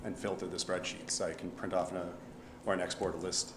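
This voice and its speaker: Deep man, hesitant answerer, echoey room. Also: little pitch movement, a little fast, background noise. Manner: hesitant, filler-heavy. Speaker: petition organizer. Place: council chamber. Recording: echoey chamber from a distance.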